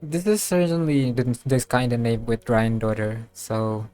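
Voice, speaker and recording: A man giving casual playthrough commentary as a game streamer, musing aloud and thinking things through haltingly. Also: mid-range voice, fairly even intonation, a little mellow. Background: game music.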